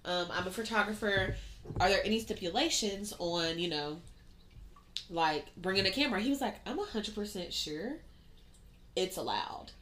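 A woman's voice: medium pitch, animated, normal speed, fairly bright tone, slight background noise. A young woman podcast co-host chatting casually and swearing freely, with lots of "um" and "like", while getting over a cold. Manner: chatty, profane, many fillers like "um" and "like". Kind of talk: casual chat.

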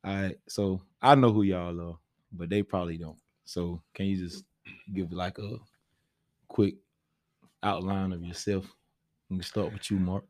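A man's voice: deep voice, fairly even intonation, unhurried, pauses often, darkish tone, no echo, clean studio sound. An American man hosting a podcast, chatting casually and informally with slang. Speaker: podcast host.

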